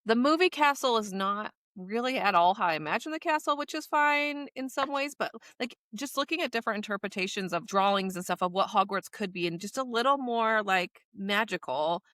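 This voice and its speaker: Bright-voiced American woman chatting on a podcast. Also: mid-range voice, animated, fairly quick, few pauses, no echo, clean studio sound. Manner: loose, hedging, frequent "like". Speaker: podcast co-host. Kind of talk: casual chat.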